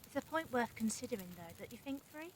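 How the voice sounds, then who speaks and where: A woman playing a sensible, scientific-minded peacemaker among captives, reasoning crisply in an audio drama. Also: on the high side, very animated, fast talker, slight background noise. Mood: weary, reasoning. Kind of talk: fan audio drama acting.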